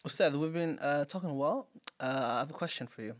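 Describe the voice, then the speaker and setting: A man's voice: on the high side, quick pace, dry recording. A man hosting a podcast, speaking hesitantly with frequent restarts. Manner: hesitant, many fillers and restarts. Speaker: podcast host. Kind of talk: podcast conversation.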